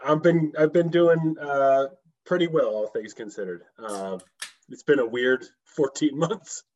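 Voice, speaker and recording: A man chatting casually as a podcast guest, informal and quick to laugh, on a remote call through a microphone. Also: mid-range voice, darkish tone. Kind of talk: casual chat. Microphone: USB microphone on remote call. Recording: slightly dull remote call audio.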